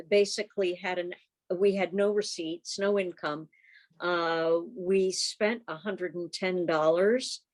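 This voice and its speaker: A woman chairing an informal club meeting on a video call, talking and reading reports in a halting, conversational way. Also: on the low side.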